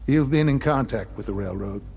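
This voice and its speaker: An actor playing a calm, calculating older institute leader in a video game, speaking formally and courteously. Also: male voice, mid-range voice, brisk, creaky, slight background noise, close to an everyday voice. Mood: measured.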